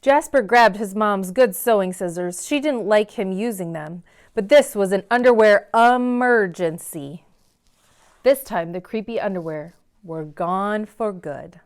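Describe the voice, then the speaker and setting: A woman's voice: mid-range voice, a little mellow, clear, resonant tone. Woman reading expressively to children. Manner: dramatic, with slowed emphasis on key words. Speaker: read-aloud host in a library. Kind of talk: expressive children's picture book read-aloud. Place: library.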